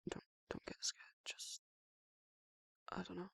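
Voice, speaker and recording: Whispering, protective werewolf lover, softly acted out. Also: slow, no room sound, clean studio sound. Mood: shy, hesitant. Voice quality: breathy, often whispered. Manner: soft, hesitant, affectionate murmuring. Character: protective, tender male werewolf lover comforting a human. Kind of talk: romantic ASMR roleplay.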